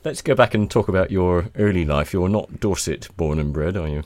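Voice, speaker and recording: Casting: male British radio interviewer, low-pitched, conversational, very dry close studio sound. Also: a little fast, a little mellow, dry recording.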